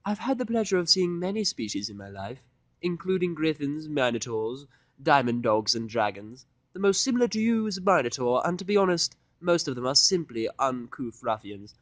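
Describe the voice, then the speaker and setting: A refined lady pony fashionista, acted out by a man for a fan audiodrama. The voice sits high and swoops theatrically, stretching words with fussy, flattering gentility. It is recorded close and dry. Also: dry recording. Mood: matter-of-fact, lecturing. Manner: affected, drawn-out, genteel delivery with terms of endearment. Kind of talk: audiodrama fan fiction character voice.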